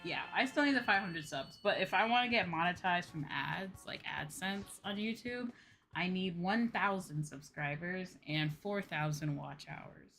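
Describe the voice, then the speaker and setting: A woman's voice: lowish voice, measured pace, creaky, fairly bright tone. A woman livestreamer chatting casually while gaming, in an informal, conversational way with emphatic repetition. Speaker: livestreamer, VTuber. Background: background music.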